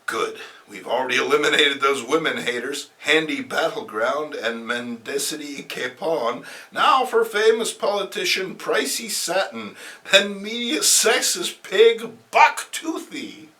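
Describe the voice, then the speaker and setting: A man's voice: fairly high pitch, sing-song, a little slow, few pauses, sharp tone, pitched above the performer's own voice, full character voice. A man voicing a ruthless, gloating female criminal mastermind in a comic book reading, bossy and scornful. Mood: coldly scheming.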